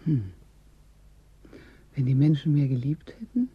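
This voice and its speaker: An actor playing a puzzled, questioning man in a radio drama, with a rough, creaky voice. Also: on the high side, big pitch swings, unhurried, dry recording, heavily acted. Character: puzzled, questioning listener moved by the idea. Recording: dry, dull lo-fi sound.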